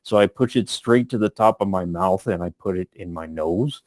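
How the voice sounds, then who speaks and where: A man voicing a big Loxodon elephant-man, very slow and deep, with the voice pushed into his nose. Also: a little mellow, no echo, clean studio sound, lightly acted. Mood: slow, ponderous. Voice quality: deep, nasal, heavily breathing through the nose. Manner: very slow, drawn-out speech.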